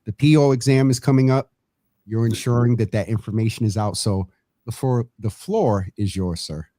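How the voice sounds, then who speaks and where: A man's voice: mid-range voice, normal speed, dark tone, no room sound, clean studio sound. A male show host asking long, winding interview questions with many fillers. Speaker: podcast host. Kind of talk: interview hosting. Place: studio room.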